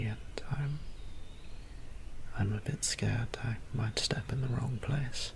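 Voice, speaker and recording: Man acting a hushed, creaky, low-voiced vampire priest. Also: a little hiss or hum. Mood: shy, hesitant. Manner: formal archaic wording, hesitant, halting. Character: nervous, courtly, tender immortal vampire priest, male. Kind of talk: intimate scripted audio roleplay.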